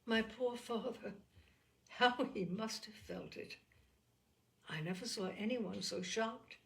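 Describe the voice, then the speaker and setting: A woman voicing a gentle, anxious, forgiving young sister, earnest and measured, in a storybook reading. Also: a little high, creaky, full character voice. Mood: anxious, sorrowful.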